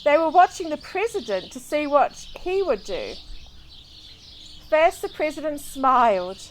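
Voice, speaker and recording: A woman from New Zealand reading a children's storybook aloud in an expressive, deliberate way. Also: high voice, slight background noise. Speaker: children's book reader. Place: outdoors in a garden. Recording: outdoor recording with clear birdsong. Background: birdsong.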